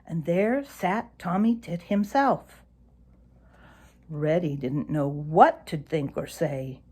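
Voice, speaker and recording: A woman narrating a children's animal story aloud in an expressive storytelling style. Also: sits low, slow, slightly rough.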